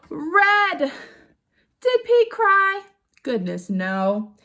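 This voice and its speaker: A woman reading a picture book aloud to young children in an animated way, putting questions to her listeners. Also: very high-pitched, drawn-out words. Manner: animated, with gasps and exclamations. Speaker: storybook reader for young children.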